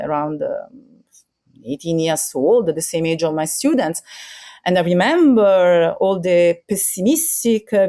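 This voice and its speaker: This is a middle-aged Italian woman speaking English as a second language, with a clear Italian accent. She talks in a podcast interview, halting often with "uh" and "um" and searching for words as she goes. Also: mid-range voice, a little mellow. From Italy. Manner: hesitant with many fillers, non-native phrasing. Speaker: teacher guest. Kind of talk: interview, remote podcast conversation. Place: recording over the internet.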